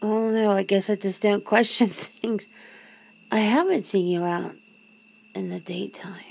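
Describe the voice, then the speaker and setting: A woman playing a nervous, teasing, disbelieving girlfriend in an intimate ASMR roleplay, talking haltingly and often dropping toward a whisper. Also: mid-range voice, gravelly, a little mellow, husky. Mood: hesitant, confused. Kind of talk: ASMR roleplay, one side of an intimate conversation.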